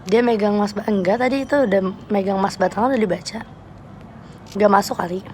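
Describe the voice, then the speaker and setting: A young woman with a Jakarta Betawi slang accent chatting casually with viewers on a live stream, playful and quick to laugh. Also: mid-range voice, darkish tone, slight background noise. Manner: chatty, playful, laughs often, switches registers.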